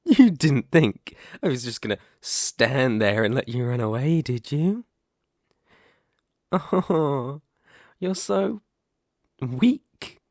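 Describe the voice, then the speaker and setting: Man playing a slow, sadistic snake monster. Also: medium pitch, dry recording, studio quality. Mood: gloating, taunting. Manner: taunting, menacing, slow deliberate delivery with gloating lilts. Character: sadistic, predatory male lamia, half-snake monster toying with prey. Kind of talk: ASMR horror roleplay acting.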